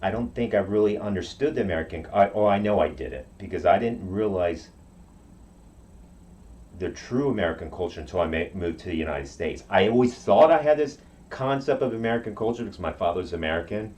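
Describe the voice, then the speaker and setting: A man raised in Okinawa whose first language is Japanese, telling his story in a halting interview with many restarts. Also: lowish voice, expressive, darkish tone. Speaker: guest.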